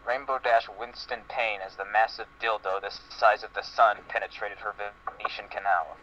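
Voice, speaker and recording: A man with a fairly low voice reads fan fiction aloud as a comic drama. The audio is muffled, with a faint layer of noise. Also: steady tone, normal speed, bright, forceful tone. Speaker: reader.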